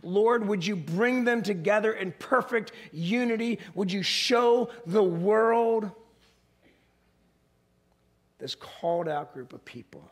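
A middle-aged man preaching with a naturally gravelly voice, roughened further by hoarseness. He talks in a slightly high register, pacing the stage, and builds to emphatic, animated peaks. He sounds close on a body mic in front of a large congregation. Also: slow. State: hoarse, voice strained. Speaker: pastor. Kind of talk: sermon. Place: large church stage with an audience.

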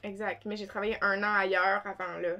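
A woman in her twenties with an Acadian French accent, chatting as an interview guest in a small studio, talkative and quick to laugh. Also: medium pitch, flat delivery, pushed and bright. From New Brunswick, Canada. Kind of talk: casual interview chat. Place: small studio with wood-panel backdrop. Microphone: studio mic on table.

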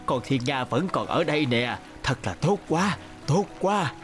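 An actor playing a kindly, joyful old fisherman in a cartoon fairy tale, gentle and eager. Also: fairly high pitch, expressive, a little slow, over music. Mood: delighted.

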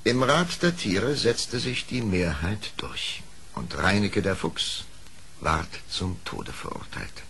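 A man with a lowish voice narrates a fairy-tale radio play. He takes his time, very slow and measured, on an old record with faint noise. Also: very animated. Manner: measured, literary storytelling.

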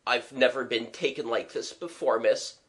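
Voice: mid-range voice, moderate pace, close to an everyday voice. A reader voicing a flustered working-class young man, gasping, agitated and apologetic, in a storybook. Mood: anxious, apologetic.

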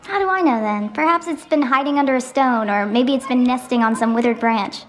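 A woman's voice: a little high, fairly quick, lightly acted. A woman acting in a radio play as a meek, muddled drinker, a man who speaks hesitantly and defensively. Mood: vague.

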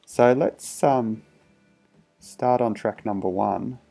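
Low, gravelly-voiced man demonstrating gadgets. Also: slow, dark tone, faint music behind. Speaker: electronics hobbyist presenter. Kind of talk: casual hands-on product demo narration.

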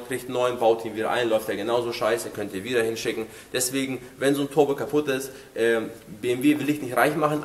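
The echo of an indoor workshop surrounds this man's voice, which sits at an average pitch and sounds a little stuffed up from a cold. He explains things like a mechanic talking straight to a customer. His wording is colloquial, direct and matter-of-fact. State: slightly congested with a cold. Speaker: car workshop mechanic presenting. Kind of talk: informal explanatory talk to camera. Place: car repair workshop, indoors. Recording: echoey workshop room.

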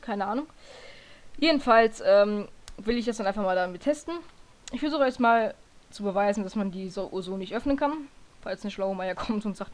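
A hoarse woman talking casually through a screen-recorded software tutorial, often stopping to correct herself and filling pauses with hesitations. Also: fairly high pitch. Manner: rambling, self-correcting, lots of fillers.

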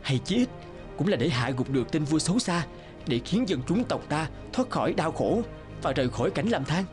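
A man's voice: fairly high pitch, big pitch swings, normal speed, music in the background. A man voicing a level-headed young captive swordsman in a cartoon, earnest and persuasive. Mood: determined, hopeful. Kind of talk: dramatic cartoon dubbing.

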